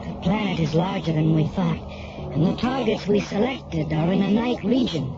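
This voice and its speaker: A man playing a dutiful alien aide to a military commander in a science fiction radio drama, formal and measured. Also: high-pitched, lightly acted. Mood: formal concern. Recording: dull lo-fi recording, loud music behind.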